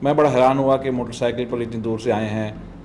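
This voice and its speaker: A man's voice: mid-range voice, steady tone, fairly quick, a little mellow, slight background noise. A middle-aged man with a southern Punjab Pakistani accent, telling his story conversationally as a guest in an interview. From Multan, South Punjab, Pakistan. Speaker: podcast guest, doctor and motorbike traveller.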